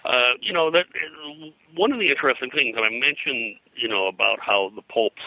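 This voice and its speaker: A man being interviewed as a historian and publisher, explaining history in a halting, detail-heavy, conversational way. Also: mid-range voice, animated, sharp tone, no echo, low-quality recording.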